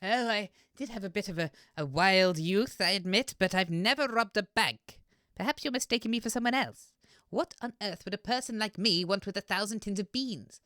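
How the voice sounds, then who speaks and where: A woman's voice: mid-range voice, moderate pace, fairly bright tone, no room sound, clean studio sound, lightly acted. A woman playing a menacing granny baker with a criminal past in a comedy audio sketch, sweetly evasive with veiled threats. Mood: sweetly threatening.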